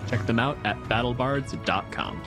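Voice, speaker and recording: A man reads a scripted podcast outro as co-host. His pitch is average for a man, and music is clearly heard behind him. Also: animated, moderate pace. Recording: music under the voice.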